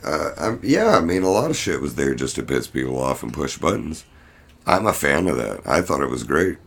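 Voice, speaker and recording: Very deep, gravelly man podcasting. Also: very animated, normal speed, a bit bright. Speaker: podcast host. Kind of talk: casual solo podcast chat, voicing absent co-hosts.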